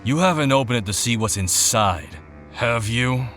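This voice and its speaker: A man's voice: medium pitch, expressive, normal speed, fairly bright tone, soft background music, natural voice. A man voicing a menacing male bandit boss who talks in curt, suspicious, threatening lines. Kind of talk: video game voice acting.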